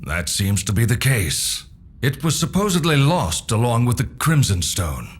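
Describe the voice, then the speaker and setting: A man playing a calm, cryptic older mentor in a video game cutscene, explaining in a measured way. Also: medium pitch, slightly rough. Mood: solemn, explaining. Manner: measured, expository.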